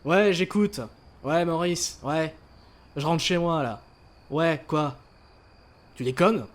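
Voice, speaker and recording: A man's voice: fairly high pitch, slow, very noisy. A man playing a surly, foul-mouthed teenage big brother, slangy and dismissive, in an audio drama. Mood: excited, brusque. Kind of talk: French audio drama acting. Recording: music behind the voice.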